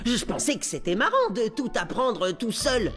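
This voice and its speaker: Excitable preteen amoeba boy, wildly swooping. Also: medium pitch, moderate pace. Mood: earnest. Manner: excitable, slangy, dramatic. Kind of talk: French cartoon dub acting. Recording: loud music behind the voice.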